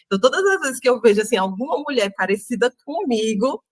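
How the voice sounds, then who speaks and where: A woman with a Northeastern Brazilian accent, an interview guest speaking thoughtfully with many pauses and tag questions. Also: on the high side, expressive, dry recording. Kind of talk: reflective interview talk.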